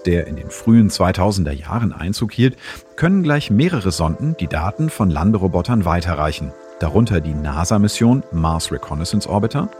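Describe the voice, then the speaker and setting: Deep guy explaining science, really varied melody, faint music under him. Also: darkish tone. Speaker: host and science presenter. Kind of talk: scripted narration, science explainer.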